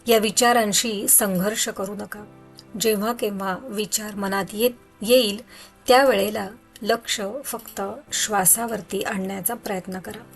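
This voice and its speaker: A woman leading a guided meditation in a soothing, instructional way, leaving pauses between phrases. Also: medium pitch, steady tone, gravelly, rough recording. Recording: background music under voice. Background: music.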